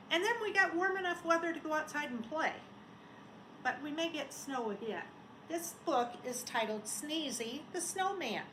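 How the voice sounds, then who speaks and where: A woman, a schoolteacher, talking and reading aloud to young children in simple, expressive phrases. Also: high voice. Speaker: elementary school teacher. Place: indoors at home. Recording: roomy home recording, faint noise.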